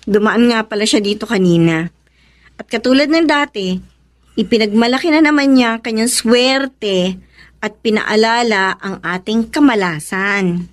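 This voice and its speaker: An actress playing a strong-willed younger sister in a Filipino radio drama, with an emphatic delivery. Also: female voice, on the high side, a little slow, close to an everyday voice. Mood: concerned.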